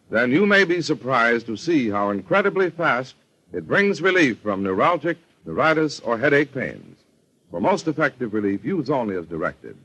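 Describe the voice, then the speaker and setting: Animated vintage American radio announcer man. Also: mid-range voice, husky, low-quality recording. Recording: old-time radio recording with music.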